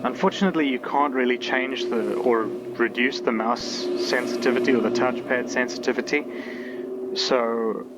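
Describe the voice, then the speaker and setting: A man's voice: medium pitch, fairly even intonation, moderate pace. Man's casual game commentary, very noisy recording. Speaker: game reviewer, player. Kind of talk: casual let's-play commentary. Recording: dull sound, loud background noise.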